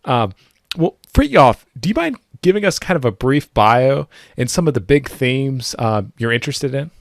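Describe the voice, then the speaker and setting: A man's voice: mid-range voice, very animated, normal speed, creaky, dry recording, studio quality. A young man hosting a podcast, asking friendly, loose questions over a video call. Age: young adult. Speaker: podcast host. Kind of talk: casual interview questions. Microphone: podcast mic on video call.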